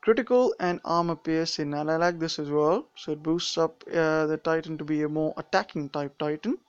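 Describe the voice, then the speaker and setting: A man's voice: on the high side, normal speed, clean tone, no echo, lo-fi sound. A young man with a mixed British and Indian accent, casually commenting on a mobile game during a live stream, rambling with many fillers and restarts.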